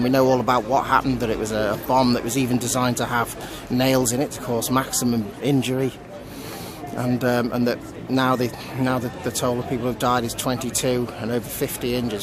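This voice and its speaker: A man gives an unscripted on-location news report, speaking haltingly and repeating words as he goes. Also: medium pitch. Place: outdoors in a busy city square. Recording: outdoor city crowd and traffic noise. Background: crowd and traffic.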